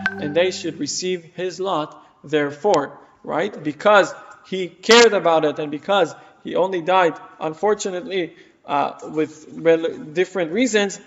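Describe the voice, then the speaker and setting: A man whose first language is Hebrew leads a Torah class, telling stories in quick, emphatic bursts. His voice sits fairly high. He is heard in a long-reverberating hall on a muffled recording. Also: slight background noise. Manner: rapid, emphatic storytelling with restarts. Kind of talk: explaining scripture to a class. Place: community hall.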